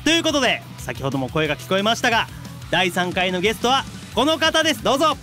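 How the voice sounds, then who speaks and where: A man hosting a casual chat between voice actors, expressive and quick with short responses. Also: high voice. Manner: expressive, reacts often with short backchannels. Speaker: podcast host. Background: background music.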